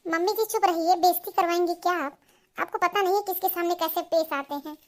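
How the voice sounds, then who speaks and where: Woman voicing a high-voiced bossy elder sister. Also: steady tone, quick pace. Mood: scolding. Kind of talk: Hindi comedy cartoon dubbing.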